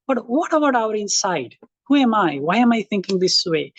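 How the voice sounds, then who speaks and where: Creaky-voiced Nepali man teaching online. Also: high voice, sing-song, moderate pace, fairly bright tone, no room sound, highs cut off. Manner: reflective, frequent fillers and restarts. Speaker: college instructor. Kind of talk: casual lecture to online students.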